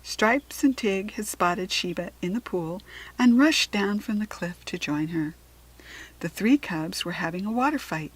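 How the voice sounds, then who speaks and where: A woman with an American accent narrating a children's storybook in an expressive, careful read-aloud manner. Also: medium pitch, measured pace, breathy edge, rough recording.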